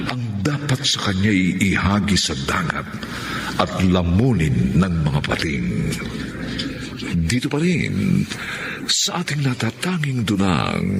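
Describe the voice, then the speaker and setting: A man's voice: deep voice, vocal fry, noisy background. A man announcing a radio drama in a formal, theatrical style of scripted narration.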